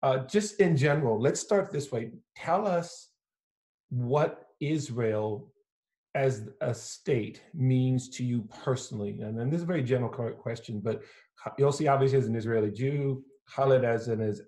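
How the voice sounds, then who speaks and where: Mellow middle-aged man moderating. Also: mid-range voice. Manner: warm-up, rambling, drawn-out questions. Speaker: moderator, pastor. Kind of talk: moderating a panel. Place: home office. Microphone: video call.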